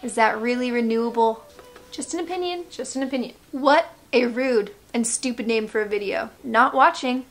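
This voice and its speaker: An American woman, around her thirties, giving casual reaction commentary as a vlog host with playful sarcasm and animated asides. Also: a little high, normal speed. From United States.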